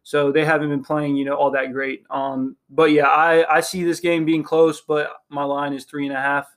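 A young man talks football picks in a halting, filler-heavy way. His voice sits a bit high but stays flat, with little rise and fall. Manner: hesitant, many ums and restarts. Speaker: podcast co-host. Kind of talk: casual sports chat.

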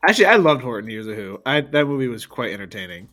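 Chill young guy, deep voice, rambles and laughs on a podcast. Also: very animated, quick pace, a bit bright, faint music behind. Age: young adult. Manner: easygoing, laughs a lot, rambling with fillers. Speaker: podcast co-host. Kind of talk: casual chat.

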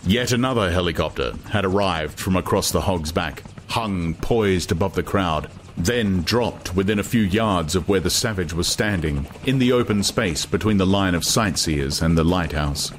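Male narrator for dramatized literary audiobook, lowish register, ambient noise underneath. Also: normal speed.

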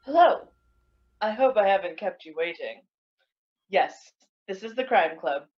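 A woman playing a mysterious librarian who hosts crime stories, narrating in a theatrical old radio style with suspenseful pauses. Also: mid-range voice, animated, close to an everyday voice. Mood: eerily welcoming. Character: mysterious female librarian host of a crime story club. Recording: muffled, noisy recording with clicks.

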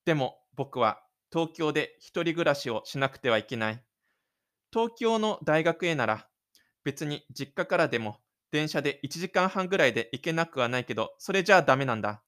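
A man's voice: on the high side, animated, moderate pace, fairly bright tone. A man voicing a shy, stubborn, lonely teenage schoolboy in an introspective audio drama monologue. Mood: determined, dreamy.

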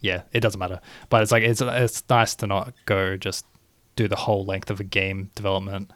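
A man's voice: deep voice, little pitch movement, normal speed, a bit bright, no room sound. A man co-hosting a gaming podcast, chatting casually in a rambling, hedging way full of "like" and "you know". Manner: rambling, hedging, filler-heavy. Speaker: podcast co-host. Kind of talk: casual chat.

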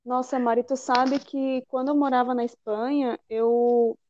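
A young adult Brazilian woman talking in a casual, expressive and emphatic way about personal things. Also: high voice, a little slow, muffled recording, a little hiss or hum.

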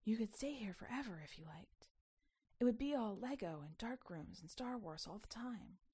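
A woman voicing a secretive young girl from a mirror world in a storybook, hushed, breathy and enticing. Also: medium pitch, expressive, moderate pace. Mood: soft persuasion. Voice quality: breathy, half-whispered.

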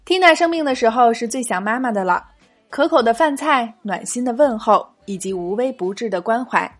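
A woman's voice: on the high side, animated, brisk. Upbeat woman hosting a language lesson. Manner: clear, upbeat teaching delivery, repeating key phrases. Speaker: English teacher and show host. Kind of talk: scripted lesson narration. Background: faint background music.

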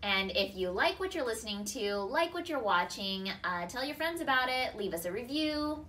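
A woman's voice: a little high, lively intonation, normal speed, sharp tone, slight background noise. A woman co-hosting a podcast, upbeat and welcoming as she introduces the show and guest. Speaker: podcast co-host. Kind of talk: podcast host talk.

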